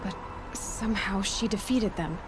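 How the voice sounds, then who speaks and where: A woman voices a curious young hunter in a video game, thinking aloud in a quiet, reflective way. Loud music plays behind her. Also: mid-range voice, a little slow, lightly acted, rough recording. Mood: musing. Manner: thoughtful, musing to herself. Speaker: player character.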